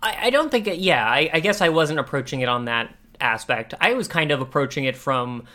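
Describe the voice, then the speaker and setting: A man chatting casually as a podcast co-host reviewing a cartoon, rephrasing his thoughts haltingly as he goes. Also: on the high side, expressive, sharp tone.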